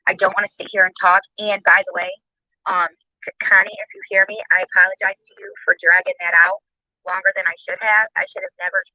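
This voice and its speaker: A woman's voice: medium pitch, little pitch movement, brisk, sharp tone, no room sound. Woman on a lo-fi livestream clip. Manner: hesitant and apologetic. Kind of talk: casual livestream talk.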